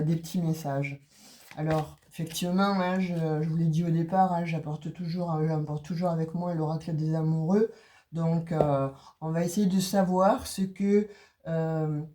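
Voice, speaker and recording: A woman's voice: sits low, dark tone. A woman with a cold doing an oracle card reading for her viewers, talking casually with many hesitations and restarts. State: has a cold. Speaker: tarot and oracle card reader.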